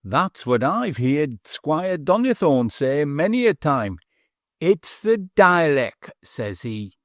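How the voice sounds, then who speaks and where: A man's voice: on the high side, big pitch swings, takes it slowly, dry recording, clean studio sound, pitched above the performer's own voice. A man voicing a pompous former butler turned innkeeper in a treble, wheezy, slightly mincing voice, deferentially calling everyone sir. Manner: deferential, says sir constantly.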